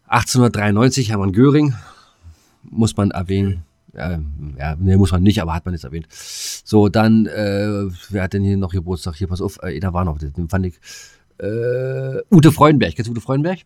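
A man's voice: deep voice, normal speed, a little mellow, dry recording, clean studio sound. A man co-hosting a podcast, chatting casually in a colloquial, jokey way with some crude slang. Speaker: podcast co-host.

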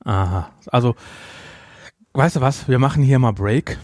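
A man's voice: mid-range voice, measured pace, no echo, clean studio sound. A man hosting a podcast in an informal, conversational way, addressing listeners directly. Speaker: podcast host. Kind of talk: casual chat, reviewing an audio drama.

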